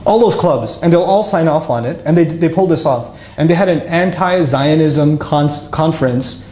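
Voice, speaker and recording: A man casually telling his life story to an audience, lively and self-mocking, with frequent restarts. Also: fairly high pitch, normal speed, reverberant.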